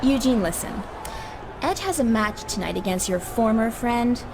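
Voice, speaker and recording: High-voiced, scheming seductress. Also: female voice, lively intonation, moderate pace. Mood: seductive coaxing. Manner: coaxing, sugary, impatient when crossed. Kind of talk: scripted video game cutscene acting. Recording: clear ambient noise behind voice.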